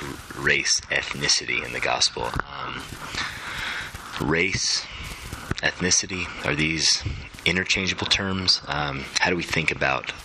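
A man's voice: extremely low pitch, noisy background. A man hosting a live onstage interview, asking long framed questions with fillers. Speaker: host and interviewer. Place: event center stage.

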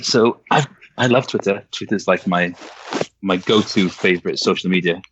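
A man with a Welsh accent softened toward English, talking casually as a novelist guest, hesitant with many fillers and restarts. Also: low-pitched, moderate pace, dull audio, background noise. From Wales. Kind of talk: casual conversation.

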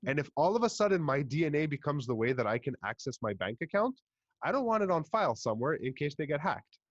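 A young man with a Montreal English accent, hosting a podcast in casual chat, an animated storyteller who swears and puts on voices. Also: on the high side, fast, dry recording, lo-fi sound. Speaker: podcast host.

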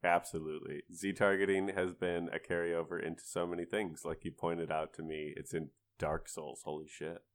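Man, low-pitched voice, podcast panelist, casual chat, conversational. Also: little pitch movement, moderate pace, few pauses.

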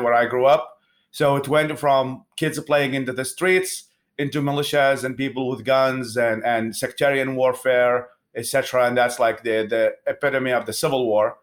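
A man from Iraq in his thirties, a guest answering interview questions in an earnest, halting way with many restarts. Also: medium pitch.

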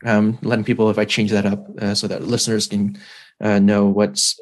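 A man hosting a podcast in casual conversation, talking in an animated way with many restarts and fillers. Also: on the low side, moderate pace. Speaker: podcast host.

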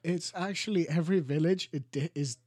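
A man's voice: fairly quick, husky, no room sound, clean studio sound. A young man in his late teens from Greece with a Greek accent, co-hosting a podcast in casual chat and telling rambling, laughing stories. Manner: rambling, laughing storyteller, voice often drops low and soft. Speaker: podcast co-host.

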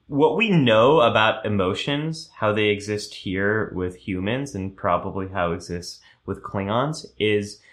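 A man with a slightly low voice hosts a live stream and talks through an idea step by step. He often stops on an um or restarts a sentence, then answers comments from viewers as he goes. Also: a bit bright. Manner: explanatory, frequent ums and restarts. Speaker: host of a live show.

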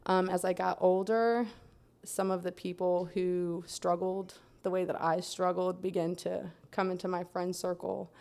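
A young adult woman from Central Florida telling her life story in a steady, soft-spoken way, pausing often with "um". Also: fairly low pitch, gravelly. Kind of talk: personal testimony in a conversation.

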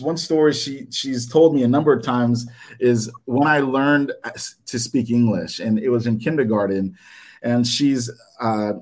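A man in his thirties, whose first language was Japanese, gives a personal testimony to his church. He speaks in a halting, earnest way, with frequent "um"s and restarts. His nerves show in his delivery, and his voice sits at an ordinary middle pitch for a man. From United States. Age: 30s. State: nervous. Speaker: church member giving a talk.

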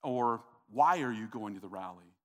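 A man's voice: mid-range voice, expressive, moderate pace. Earnest male pastor preaching. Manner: earnest, repeats himself, talks to the congregation. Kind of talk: sermon.

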